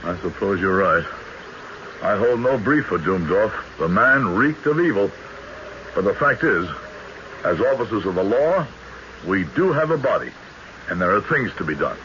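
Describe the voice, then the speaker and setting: An actor playing an earnest, formal country judge who also narrates a radio mystery in a deliberate way. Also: male voice, lowish voice, expressive, pushed and bright. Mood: indignant, insistent. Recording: noisy, muffled vintage radio broadcast.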